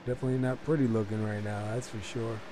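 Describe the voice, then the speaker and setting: A man doing play-by-play for a racing league, his voice worn hoarse by a cold. He talks in a relaxed, conversational way with lots of fillers, and clear car and engine noise runs underneath him. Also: lowish voice, quick pace. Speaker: race commentator. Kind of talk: sim racing play-by-play commentary.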